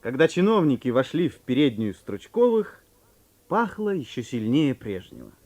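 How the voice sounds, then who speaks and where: A man's voice: medium pitch, sing-song, normal speed, darkish tone. A man narrating a literary story in a scripted 1950s Russian radio play.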